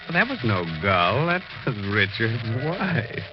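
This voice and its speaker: A man's voice: medium pitch, big pitch swings, measured pace, highs cut off, background noise. A man hosting a 1940s radio mystery show in a wry, tongue-in-cheek manner, on an old recording. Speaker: host of a radio mystery series.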